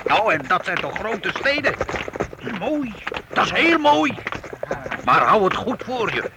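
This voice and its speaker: A man acting a kindly, fatherly medieval master craftsman in a radio drama, animated and encouraging. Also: sits high, measured pace, heavily acted. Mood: delighted, fatherly. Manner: animated, encouraging, speaks in short repeated phrases. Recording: noisy old radio play recording.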